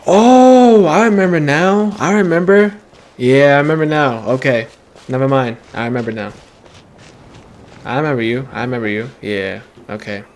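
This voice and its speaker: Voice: medium pitch, normal speed. A gamer reacting casually to a playthrough, slangy and muttering, often repeating phrases. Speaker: gaming streamer.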